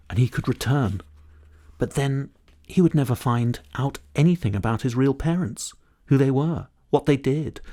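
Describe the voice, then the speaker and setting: A man's voice: on the low side, darkish tone, dry recording, clean studio sound. A middle-aged man, narrating a children's audiobook expressively. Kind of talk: expressive children's story reading.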